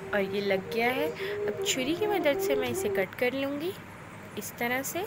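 A woman calmly explains each cooking step in a voice-over, speaking directly to viewers. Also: high-pitched, measured pace, very noisy. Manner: calm, instructive, addresses viewers directly. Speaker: cooking channel host. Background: loud background music.

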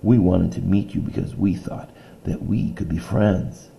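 A man's voice: low-pitched, fairly even intonation, unhurried, gravelly, mellow, slight background noise, heavily acted. A man voicing a tiny, reassuring helper mother. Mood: earnest.

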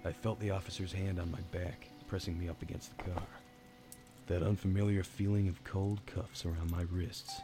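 An actor playing a world-weary, hard-drinking detective in a noir radio play, with wry, understated delivery. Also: male voice, low voice, flat delivery, normal speed, music in the background, lightly acted. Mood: wry, brooding. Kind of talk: noir radio drama narration.

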